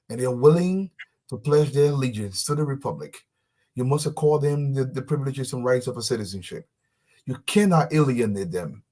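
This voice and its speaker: A man from Liberia with a Liberian accent, a talk show guest making an impassioned speech and reading a poem. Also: medium pitch. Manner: emphatic, repeats key phrases for force.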